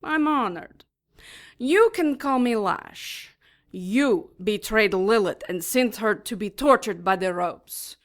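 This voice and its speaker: An actress playing a taunting, smugly sadistic female demon in an audio drama, making mock-polite threats with deliberate menace. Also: medium pitch, animated.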